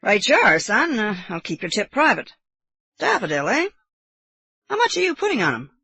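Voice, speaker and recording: A woman's voice: mid-range voice, big pitch swings, moderate pace, creaky, pushed and bright, breathy edge, dry recording, pitched above the performer's own voice, full character voice. A woman voicing a jovial, exclamatory English uncle who is amused and teasing, in a storybook reading.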